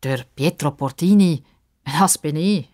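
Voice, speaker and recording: A woman's voice: low voice, a little slow, a little mellow. A woman voicing a kindly old man, a proud former football star who coaches with self-assurance, in a children's story.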